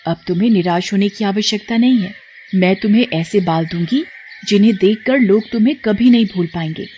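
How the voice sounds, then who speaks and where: A woman's voice: medium pitch, fairly quick, dark tone, muffled recording, slight background noise, lightly acted. A woman voicing a kind magical mother figure who gives blessings in a storybook tale, soothing and solemn. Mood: reassuring.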